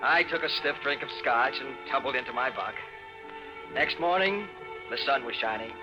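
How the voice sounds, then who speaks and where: An actor playing a fussy, exasperated trailer owner with an American accent and proper wording, in 1950s radio drama style. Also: male voice, medium pitch, normal speed, natural voice. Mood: wry, worried. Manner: proper wording, indignant, put-upon. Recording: muffled old radio recording with music.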